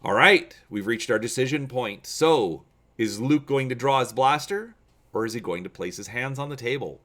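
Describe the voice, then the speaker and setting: A man reading an adventure gamebook aloud as a channel host, with measured, theatrical, deliberate phrasing. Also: mid-range voice, animated, a bit bright. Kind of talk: dramatic audiobook-style reading of an adventure gamebook.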